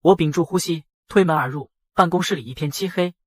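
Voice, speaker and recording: A man with a husky voice narrating a dramatized first-person story. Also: a little high, brisk, dry recording, studio quality. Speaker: narrator and voice of the male lead.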